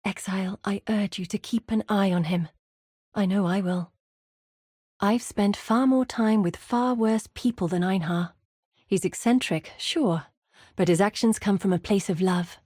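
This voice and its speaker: An actress playing an earnest, guarded woman mapmaker who guides an exile in a video game, speaking in measured, articulate lines. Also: medium pitch, darkish tone, husky, no room sound, studio quality. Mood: concerned, then wry, fond. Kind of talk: scripted video game character dialogue.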